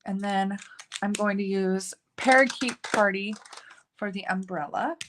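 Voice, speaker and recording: A woman's voice: mid-range voice, measured pace, background noise. A woman hosting a casual live card-making tutorial, chatting as she works and joking about her own slips. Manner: chatty, self-narrating, laughs at own slips.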